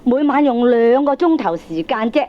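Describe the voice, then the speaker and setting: An actress playing a sharp-tongued, indignant middle-aged wife and mother in a Cantonese comedy radio drama, with a Hong Kong accent and a nagging, scornful, combative manner. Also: fairly high pitch, lively intonation, moderate pace, close to an everyday voice. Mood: confiding. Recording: dull lo-fi old cassette tape.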